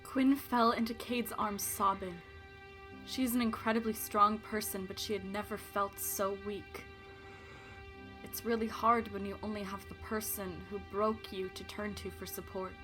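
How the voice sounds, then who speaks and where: A woman's voice: medium pitch, fairly even intonation, unhurried, music in the background. A young woman giving scripted narration for an audio drama about characters, as the storyteller. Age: young adult.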